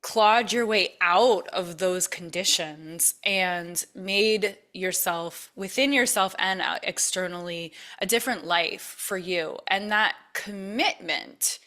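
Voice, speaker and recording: A woman's voice: fairly low pitch, takes it slowly, hardly any pauses, sharp tone. A woman hosting a podcast interview, reflecting back on her guest's story in an affirming, emphatic way, often repeating phrases for effect. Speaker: podcast host.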